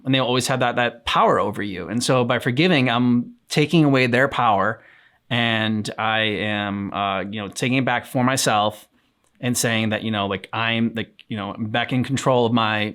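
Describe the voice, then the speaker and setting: A man's voice: mid-range voice, moderate pace, a bit bright. A man with a mid-range voice chats casually as co-host of a reaction show. He rambles and feels his way through each thought, often hesitating as he searches for the words. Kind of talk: casual chat.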